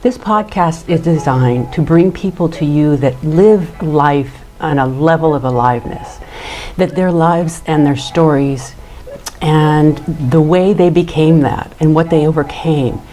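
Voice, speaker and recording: A woman hosting a podcast interview, speaking earnestly and enthusiastically as she introduces her guest and asks questions. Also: deep voice. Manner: earnest, warm-hearted and enthusiastic. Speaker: podcast host.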